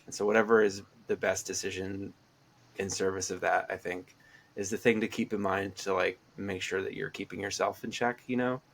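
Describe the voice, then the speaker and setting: A man with a low, gravelly voice and a stuffy, congested nose talks reflectively in a casual interview. He is a comic writer, and he fills pauses with ums as he thinks aloud. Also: steady tone, fairly bright tone, slight background noise. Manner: reflective, with fillers and laughs.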